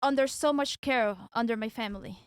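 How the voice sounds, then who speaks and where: Young Venezuelan woman, halting speech. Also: on the high side, dry recording, studio quality. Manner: hesitant, searching for words, many restarts. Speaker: guest. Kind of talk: casual debate talk.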